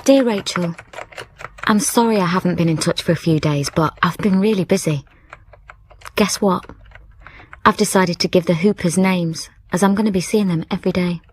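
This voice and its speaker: A woman's voice: on the low side, moderate pace, slight background noise, close to an everyday voice. An actress playing a reflective young widow, narrating her letters and thoughts intimately in a radio drama. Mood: warm, chatty. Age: young adult. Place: dry studio.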